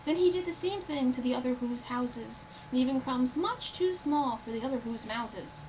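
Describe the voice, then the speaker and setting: High-voiced woman reading a story, noisy recording. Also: animated, normal speed. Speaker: storybook reader. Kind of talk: expressive rhyming story narration. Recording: dull, lo-fi, loud background noise.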